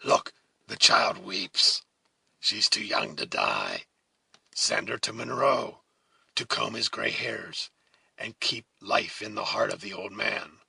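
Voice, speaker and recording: A man voicing a fierce, malign Huron warrior in a storybook reading, slow, menacing and mocking. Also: low-pitched, vocal fry, sharp tone, breathy edge, no room sound, exaggerated voice. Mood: taunting irony. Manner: slow, menacing, ironic taunting.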